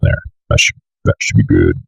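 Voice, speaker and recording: A man's voice: extremely low pitch, huge pitch swings, normal speed, vocal fry, fairly bright tone, husky, no room sound, lo-fi sound. A young man giving a casual screen-share tutorial, rambling and thinking aloud as he works. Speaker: tutorial presenter. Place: indoors at a computer desk.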